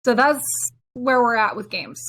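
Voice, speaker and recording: A woman's voice: a little high, a little slow, pushed and bright. A woman co-hosting a podcast, chatting casually about video games and telling rambling stories with acted-out quotes. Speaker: podcast co-host.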